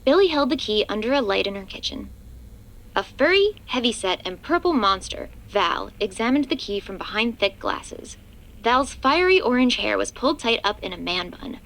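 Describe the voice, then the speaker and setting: A woman narrates a scripted drama in third person. Her delivery is very varied in pitch with a gravelly creak, over faint noise. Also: sing-song, moderate pace, a bit bright, rough recording.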